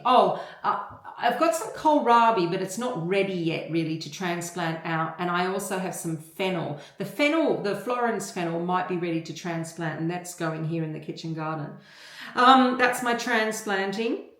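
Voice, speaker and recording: A woman's voice: mid-range voice, roomy sound. A woman with an Australian accent, talking casually to her viewers about her vegetable garden, rambling and chatty with many ums. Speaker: gardening vlogger. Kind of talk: casual talk to camera. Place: home kitchen garden.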